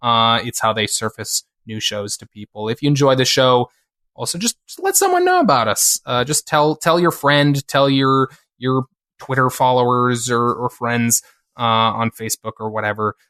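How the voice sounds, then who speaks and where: A man co-hosting a podcast in casual chat, halting and rambling with lots of restarts. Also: mid-range voice, expressive, a bit bright. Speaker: podcast co-host.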